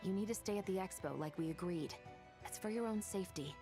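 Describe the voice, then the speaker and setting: An actress voicing a stern adult patrol captain in a game, firm, measured and matter-of-fact. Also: female voice, medium pitch, lightly acted. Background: game music.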